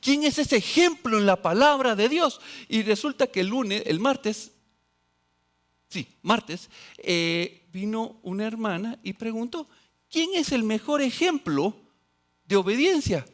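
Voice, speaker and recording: Guy preaching in church, pretty high voice, gets loud then drops way down quiet, reads verses and does little voices. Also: lively intonation, measured pace. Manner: swings between loud exclamations and quiet, low asides; quotes scripture and acts out voices of others. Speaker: pastor. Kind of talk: sermon.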